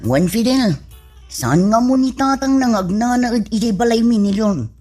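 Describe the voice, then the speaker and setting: A woman acts a bold, loyal young tomboy in a comedy radio drama. She speaks high with a rasp and a Filipino accent, quick to exclaim. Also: expressive, darkish tone, faint music behind. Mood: firm.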